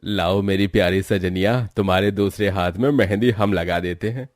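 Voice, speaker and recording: A man's voice: low-pitched, steady tone, moderate pace, no room sound, clean studio sound, close to an everyday voice. A man voicing an affectionate husband in a family story. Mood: loving. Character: affectionate village-bred husband. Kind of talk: story voice acting.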